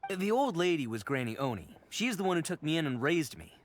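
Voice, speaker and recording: An actor playing a brash, boisterous young oni gang boss in a video game, cocky, blustering and theatrical. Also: male voice, fairly high pitch, very animated, brisk, heavy background noise. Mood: earnest. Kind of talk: video game character acting.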